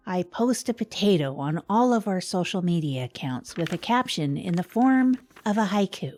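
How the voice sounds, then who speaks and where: A woman playing a wry small-town radio host, telling a comic monologue with hesitant, self-deprecating asides. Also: mid-range voice. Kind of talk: scripted comedic radio monologue.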